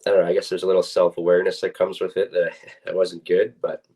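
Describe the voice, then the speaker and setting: A man's voice: sits low, completely flat delivery, quick pace, darkish tone, breathy edge. A young man, a student athlete, giving casual, plain-spoken and somewhat hesitant interview answers. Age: young adult.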